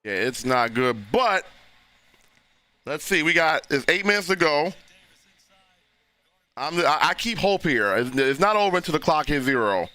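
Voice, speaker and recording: A man, hoarse and losing his voice, hosting a casual live football reaction stream and talking loosely with frequent restarts. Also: a little high, big pitch swings, measured pace, long pauses, sharp tone, no room sound. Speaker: livestream host reacting to a football game.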